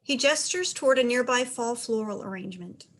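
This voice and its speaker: A woman's voice: on the high side, lively intonation, brisk, fairly bright tone. A woman narrator reading stage directions aloud as scripted narration.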